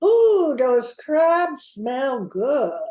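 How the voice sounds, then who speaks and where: A woman's voice: high-pitched, very animated, very slow, dark tone, heavily acted. A woman playing a sociable retired librarian from the bayou in an amateur radio play. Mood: chatty.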